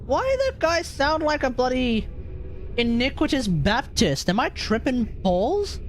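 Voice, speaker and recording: Female game streamer, Australian accent, fairly high voice, reactive and jokey, heavy slang. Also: big pitch swings, unhurried, a little hiss or hum. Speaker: livestreamer. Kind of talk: casual game stream commentary. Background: game music.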